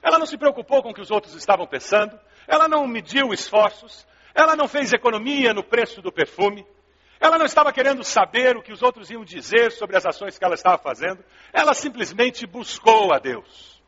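High-voiced Brazilian pastor in a reverberant hall. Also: male voice, animated, brisk, bright, forceful tone. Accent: Brazilian Portuguese. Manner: emphatic, didactic, with rhetorical questions and repetition. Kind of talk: sermon. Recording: dull, reverberant large-hall recording.

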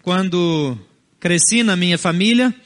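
A Brazilian pastor with a high voice preaches in short, deliberate phrases, and Portuguese is not his first language. His sermon is earnest and he builds each point carefully. The sound has the long reverb of a large hall.

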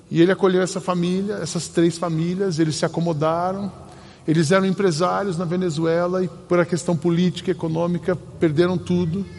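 A man with a Brazilian Portuguese accent preaching a sermon as pastor, telling stories earnestly with emphatic pauses. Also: high voice, a little mellow. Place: church sanctuary. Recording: long hall reverb, faint ambient noise.

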